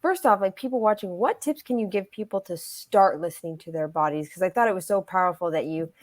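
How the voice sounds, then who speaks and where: A woman's voice: lowish voice, quick pace, no echo, studio quality. A woman hosting an interview who asks eager questions and keeps affirming her guest. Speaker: interview host. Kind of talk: casual interview.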